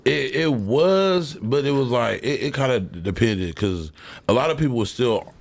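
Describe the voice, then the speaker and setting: A man's voice: medium pitch, animated, normal speed, gravelly. A man from Portland, Oregon, telling neighborhood stories in a loose, rambling podcast chat full of filler and swearing. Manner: laid-back, rambling, heavy filler "like" and profanity. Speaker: podcast guest. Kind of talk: casual storytelling chat.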